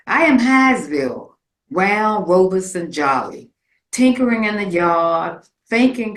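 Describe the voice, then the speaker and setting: Slow, lyrical woman reciting in echoey room. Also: lowish voice. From rural Virginia, United States. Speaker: community performer reading own piece. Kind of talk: scripted poetic monologue. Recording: roomy, echoing live space.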